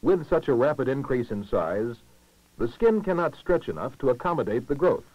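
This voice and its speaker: A man's voice: mid-range voice, very animated, no room sound. Man narrating a vintage lo-fi educational film. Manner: formal, measured, clearly enunciated delivery. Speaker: documentary narrator. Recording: muffled lo-fi 1960s film soundtrack.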